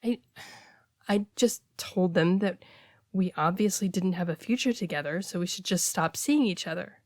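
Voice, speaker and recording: A woman's voice: on the low side, moderate pace, no echo. Woman acting a blunt, casual friend, dry close recording. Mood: matter-of-fact. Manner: conversational, hesitant, sweary, expressive. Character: casual, blunt heartbreaker friend secretly in love. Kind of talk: intimate one-sided audio roleplay, naturalistic dialogue.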